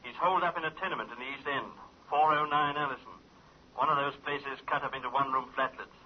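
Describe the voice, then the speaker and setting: A man playing a brisk detective reporting. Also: medium pitch, fairly even intonation, lightly acted. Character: brisk male detective on the phone. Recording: muffled old radio with faint hiss.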